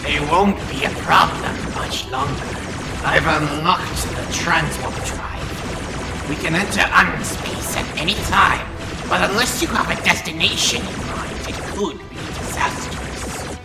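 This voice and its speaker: A woman voicing an intense, scholarly robot villain with a creaky voice and precise, dramatic delivery. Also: low voice, unhurried. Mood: measured. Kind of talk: comic dub villain acting. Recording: echoey, loud music underneath.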